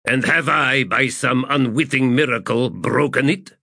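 A man's voice: mid-range voice, a little slow, creaky, bright, forceful tone, dry recording, voice pushed up, exaggerated voice. Male reader doing a drawling, sardonic priest, weary and tormented, dry sound.

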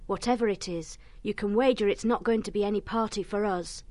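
A woman's voice: medium pitch, fairly quick, a little mellow, close to an everyday voice. A woman voicing a sober, earnest young animal full of foreboding in a storybook. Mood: uneasy.

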